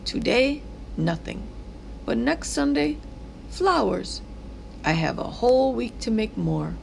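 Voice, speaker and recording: A kindly market flower seller from a children's storybook, acted by a woman reader. Her expressive voice sits fairly high and has heavy creak in it. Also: slow, slight background noise, exaggerated voice.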